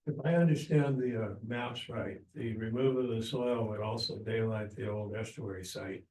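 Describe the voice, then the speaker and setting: A man's voice: fairly low pitch, fairly even intonation, moderate pace, a little mellow. Off-mic man in echoey hall. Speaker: audience member. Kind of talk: asking a question at a meeting. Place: community meeting room.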